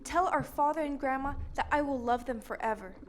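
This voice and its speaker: A woman playing a gentle, lovesick young mermaid in an audio drama, with an earnest, emotional delivery. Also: high-pitched, brisk, slight background noise, lightly acted. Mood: tender, sad.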